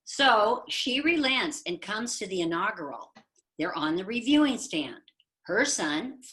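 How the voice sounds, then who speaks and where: A woman's voice: medium pitch, a bit bright, low-quality recording. A woman telling a joke and reciting a poem expressively for a community readers theater show over a video call.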